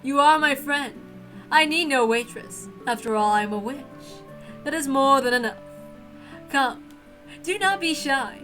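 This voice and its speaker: A woman's voice: high-pitched, animated, a little slow, pauses often, pushed and bright, full character voice. A woman voicing a proud, gracious witch hostess in a visual novel reading, speaking in formal, stately phrases over music. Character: elegant, gracious witch hostess, grandly proud yet innocently friendly.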